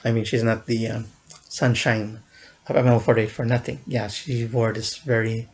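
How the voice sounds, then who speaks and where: A man's voice: medium pitch, little pitch movement, normal speed. A young man casually recounting a fan event in a vlog, rambling with many fillers and restarts, often chuckling. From United States.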